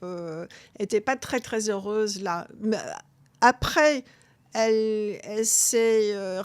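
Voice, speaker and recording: A middle-aged woman, in a mid-pitched voice with many pauses and restarts. The close, dry mic gives her a plain, intimate sound. She talks as a guest in a conversational interview. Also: no echo. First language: English. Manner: halting, many restarts and fillers. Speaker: guest author.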